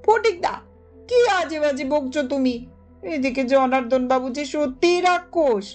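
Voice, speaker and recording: A woman's voice: high voice, animated, unhurried, darkish tone, voice pushed up. A woman voicing a frightened, earnest young schoolboy in a storybook reading, pleading and insistent as he begs to be believed. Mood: desperate. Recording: music under the voice.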